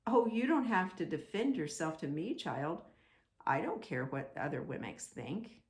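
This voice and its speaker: Storybook maker, played by a woman, a kindly old fatherly craftsman: low-set, gentle, thoughtful, in a roomy space. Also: very animated, unhurried, exaggerated voice. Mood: reassuring. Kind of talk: children's storybook read-aloud.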